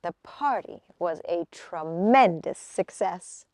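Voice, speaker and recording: A woman reading a children's picture book aloud as a storyteller, with expressive, deliberate phrasing. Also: lowish voice, no echo.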